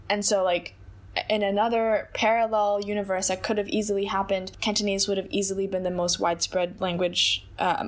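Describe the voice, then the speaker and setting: Thoughtful young woman, full of hedges. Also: mid-range voice. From Hong Kong. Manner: thoughtful, many hedges and fillers like "like" and "I think". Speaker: interview guest. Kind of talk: reflective conversational interview.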